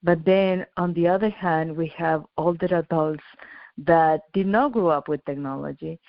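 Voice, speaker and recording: A woman's voice: low-pitched, normal speed, dry recording, dull audio. A middle-aged woman from Chile, an engineer and company founder, speaking as a radio interview guest in an earnest way, restarting often and repeating words.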